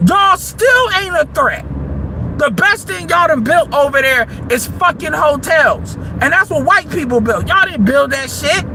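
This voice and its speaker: A man, delivering a heated, profane monologue that rises into shouts. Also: very high-pitched, expressive, unhurried, noisy background. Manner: heated, combative, swearing freely, rising to shouts. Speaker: livestream commentator.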